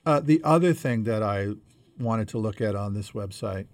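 A man co-hosting a conversational podcast, explaining things in a measured way as he thinks aloud. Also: on the low side, animated, a little mellow, dry recording. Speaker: podcast co-host.

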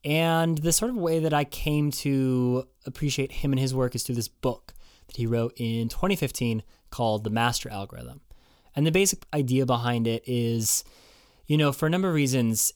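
A man's voice: medium pitch, darkish tone, clean tone, no echo, studio quality. A man hosting a podcast, introducing his guest in a loose, casual way full of fillers. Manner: casual, hedging with many fillers. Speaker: podcast host.

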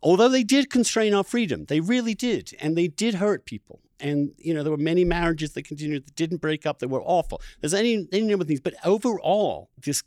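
A male podcast host in animated interview conversation, talking discursively and emphatically, building his points in repeated phrases. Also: a little high, fairly quick, few pauses, no room sound.

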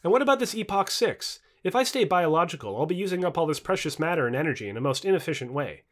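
A man's voice: a little high, very animated, quick pace, a bit bright. A man voicing a skeptical, sarcastic present-day woman in an audio book dialogue. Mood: wry. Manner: wry, sarcastic, questioning.

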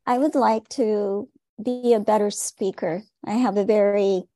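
A soft-spoken woman taking part in a group workshop. Her tone is mellow and warm, at an ordinary pitch. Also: fairly even intonation, unhurried. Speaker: workshop participant.

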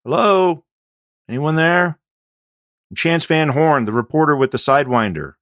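An anxious, polite male reporter, played by a male audiobook reader, calls out to announce himself. His voice is loud and lifted well above a normal talking pitch, in a dry recording. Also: expressive, normal speed, no room sound. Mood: cautious, calling out. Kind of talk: audiobook character dialogue.

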